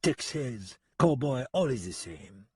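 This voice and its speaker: A man's voice: mid-range voice, very animated, takes it slowly, husky, no room sound, studio quality, pitched above the performer's own voice, heavily acted. A man voicing a mocking, sly French gunman with a French accent in a western audiobook. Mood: sly, boastful. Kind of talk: western audiobook character acting.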